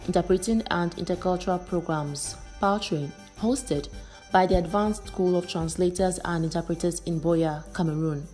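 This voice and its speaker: A woman's voice: fairly low pitch, warm tone. A woman presenting and interviewing as the host of a podcast. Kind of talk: scripted podcast introduction and interviewing.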